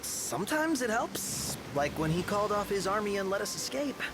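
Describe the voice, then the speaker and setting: A man's voice: high voice, very animated, moderate pace, background noise. An actor playing an eager, chatty young man, a travelling companion in a video game. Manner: eager, informal. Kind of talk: video game cutscene acting. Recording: loud music behind the voice.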